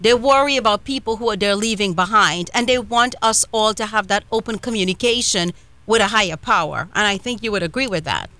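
A Trinidadian woman hosting a live radio talk show, chatty with quick asides. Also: mid-range voice, bright, forceful tone, a little hiss or hum. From Trinidad and Tobago.

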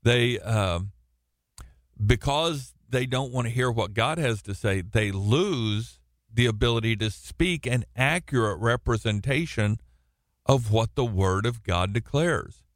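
Middle-aged male radio preacher, slow and loud, restarts often, dry studio sound. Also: mid-range voice, expressive, no echo. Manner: loud projecting voice with frequent restarts. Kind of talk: sermon teaching.

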